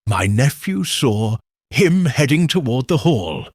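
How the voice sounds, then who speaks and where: A man plays a village grocer's wife in a storybook mystery, full of insinuating gossip. The delivery is conspiratorial and slow, swinging in pitch. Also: very animated, husky, no room sound, studio quality, exaggerated voice. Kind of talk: audiobook character reading.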